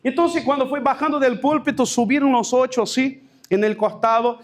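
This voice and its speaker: A man with a Brazilian Portuguese accent, a pastor giving a personal testimony at a leadership workshop, telling his story haltingly with restarts and stray Portuguese words. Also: very high-pitched, steady tone.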